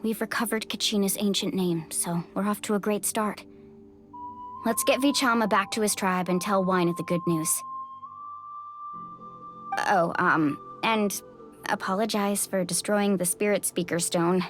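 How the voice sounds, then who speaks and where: A woman's voice: mid-range voice, unhurried, soft background music, lightly acted. A woman voicing an earnest, determined, upbeat young heroine in a video game. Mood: friendly.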